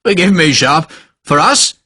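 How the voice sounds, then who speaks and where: A man voicing a short, pious older cavalry major who speaks gruffly and brusquely in clipped commands. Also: fairly high pitch, lively intonation, unhurried, bright, forceful tone, no echo, studio quality, pitched above the performer's own voice, exaggerated voice. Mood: scornful amusement. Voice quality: gruff, brusque, barking laugh. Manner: clipped commands, fervent and impatient. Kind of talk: audiobook character voice.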